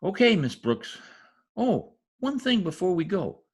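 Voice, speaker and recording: A man's voice: sits high, sing-song, a little slow, slightly rough, full character voice. A man playing an eager, mischievous teenage schoolboy in an old-time radio comedy, with an earnest, gushing manner and flowery words. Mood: confiding, earnest.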